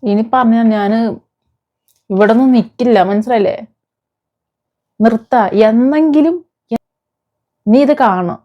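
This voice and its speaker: A woman's voice: fairly high pitch, a little slow, pauses often, dull audio. A woman delivering an impassioned, confrontational vlog rant, scolding someone with repeated rhetorical questions. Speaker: vlogger. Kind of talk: impassioned rant addressed to an absent person.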